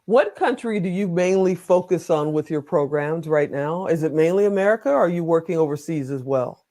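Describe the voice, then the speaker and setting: High-voiced man hosting a talk show. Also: fairly quick, a little mellow. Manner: informal, joking, conversational. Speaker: interviewer, talk show host. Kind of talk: casual chatty interview.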